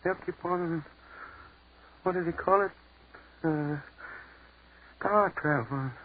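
An actor playing a hushed, awestruck young son in a 1940s radio drama, speaking haltingly. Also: male voice, on the high side. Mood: hushed awe. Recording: lo-fi 1940s radio broadcast with hiss.

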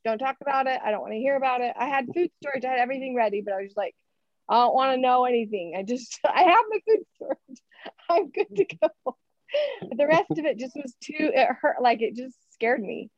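A woman's voice: high voice, expressive, fairly quick, no echo. A woman in an online discussion group, chatting candidly and at length with many fillers over a video call. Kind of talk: casual online group chat. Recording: dull dry video call audio.

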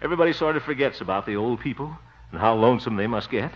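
An actor playing a jovial, blustery middle-aged small-town radio host in a theatrical 1950s radio comedy style. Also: male voice, mid-range voice, lively intonation, racing, lightly acted. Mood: wistful. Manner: theatrical, chuckling, exclaiming. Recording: muffled old 1950 radio recording.

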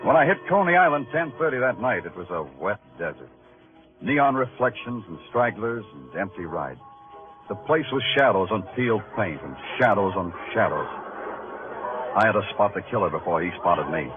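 An American actor playing a world-weary, wry New York police detective in a 1950s radio crime drama, talking in clipped, moody noir style. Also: male voice, lowish voice, lively intonation, normal speed, natural voice. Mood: brooding, wry. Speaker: narrator and lead actor. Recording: tinny old radio broadcast, music behind.

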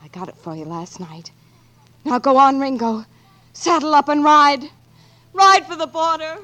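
An American woman acting a devoted, pleading lover of an outlaw in a melodramatic, halting 1940s radio performance. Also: sits high, pushed and bright. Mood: frantic. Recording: old 1940s radio broadcast, faint music.

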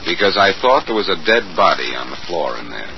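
A man playing a cocky, quick-witted amateur sleuth in a 1940s radio crime drama, trading wisecracks. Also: low voice, big pitch swings. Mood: insistent. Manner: wisecracking, fast banter. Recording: dull, noisy old radio recording.

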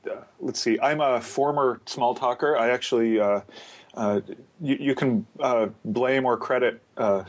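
A man on a podcast panel, hoarse from a sore throat, talking casually and joking, with many filler words. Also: medium pitch, measured pace.

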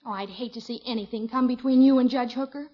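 A young woman playing a sensible, gently nagging niece with an American accent, earnest and reproving, in 1950s radio comedy style. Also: on the high side, fairly even intonation, quick pace, lightly acted. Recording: muffled vintage 1950s radio recording.

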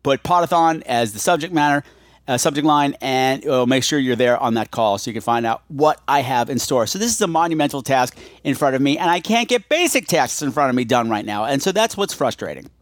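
A man's voice: mid-range voice, animated, fairly quick, no room sound, studio quality. A man hosting a podcast solo in a casual, confessional way, rambling and self-deprecating, repeating himself for emphasis. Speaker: podcast host.

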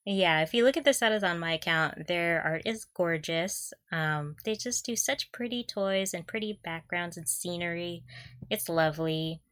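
A woman's voice: lowish voice, moderate pace, fairly bright tone. A woman co-hosting a podcast in casual fan chat, gushing and giggly as she talks. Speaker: podcast co-host.

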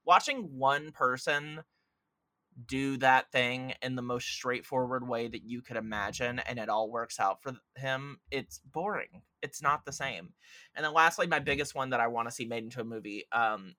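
A man's voice: medium pitch, moderate pace, sharp tone, dry recording. A man talking casually and enthusiastically about films and games on a podcast, in a rambling, informal way. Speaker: podcast speaker. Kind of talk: casual film and video game discussion.

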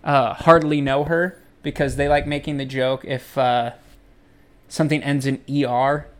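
A man's voice: mid-range voice, flat delivery, clean tone. A man co-hosting a podcast in casual chat, telling rambling stories full of restarts. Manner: rambling storyteller, halting restarts. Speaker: podcast co-host.

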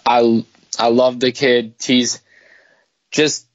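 A man's voice: mid-range voice, level tone, very slow, no room sound, lo-fi sound. A man giving his opinions in a casual sports chat as a podcast co-host.

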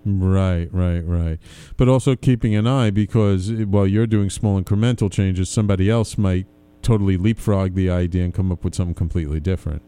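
Smooth, dark-voiced man hosting an interview. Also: low voice, fairly even intonation, a little fast, no room sound. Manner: agreeable, frequent affirming interjections. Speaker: show host, interviewer. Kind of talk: conversational interviewing.

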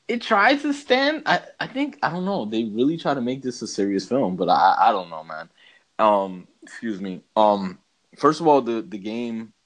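Casting: male podcast co-host, lower-pitched voice, casual and opinionated, loose slangy phrasing. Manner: slangy, says bro and man often. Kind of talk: casual opinionated movie chat.

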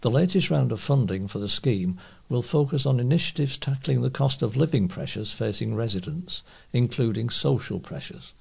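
A man's voice: medium pitch, animated, normal speed, gravelly, darkish tone, husky. A man reading local news aloud as a volunteer newsreader.

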